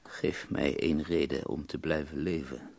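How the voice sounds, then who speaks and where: An actor in a radio drama playing an ailing, bitterly ironic bedridden poet, weary and wryly self-mocking. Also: male voice, extremely low pitch, flat delivery, moderate pace, gravelly, darkish tone, heavily acted. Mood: wry, bargaining.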